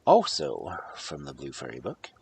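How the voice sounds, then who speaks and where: A man reading fairy tales aloud as a storyteller, with a husky voice and a dramatic delivery that moves between hushed and emphatic. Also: a bass voice, wildly swooping pitch, a little slow, slight background noise. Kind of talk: expressive fairy-tale narration, read aloud.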